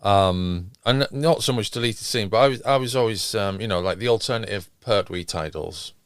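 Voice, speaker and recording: A man with a fairly low voice chats casually as a podcast co-host. His phrasing is wry and a little hesitant, and the sound is dry, with no room echo. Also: moderate pace, smooth. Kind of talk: casual chat.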